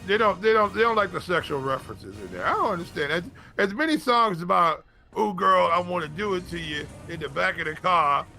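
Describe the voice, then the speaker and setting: A man with a bright, forceful voice that swings widely in pitch, co-hosting in casual banter over music. He is emphatic, teasing and tends to repeat his words. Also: lively intonation, a little fast, gravelly, hardly any pauses. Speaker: sports show co-host.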